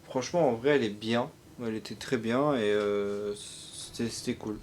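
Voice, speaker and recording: A man's voice: fairly low pitch, normal speed, a little mellow. A man co-hosting a casual video review, talking in a hesitant, rambling way. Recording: faint background noise.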